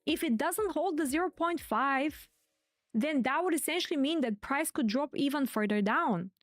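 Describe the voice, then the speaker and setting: A woman's voice: high voice, animated, normal speed, no room sound, faint music behind. A woman host giving live stream market commentary in a chatty way, repeating her key points. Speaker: crypto trading streamer.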